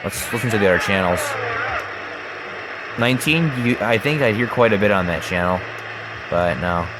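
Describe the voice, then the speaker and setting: A man giving a casual hands-on demonstration in a halting way, often repeating phrases, recorded at home on a handheld camera. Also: on the low side, heavy background noise. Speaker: video maker showing a gadget. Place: carpeted room at home. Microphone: handheld camera mic.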